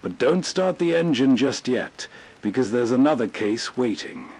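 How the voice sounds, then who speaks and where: A man narrating softly and calmly late at night. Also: mid-range voice, moderate pace, slightly rough. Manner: calm, soothing.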